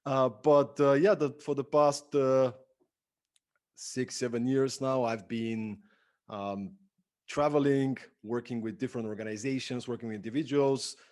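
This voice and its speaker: A man's voice: medium pitch, fairly even intonation, a little slow, a little mellow. Enthusiastic man from Bulgaria, interview guest. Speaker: podcast guest, entrepreneur and productivity speaker. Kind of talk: conversational interview answers.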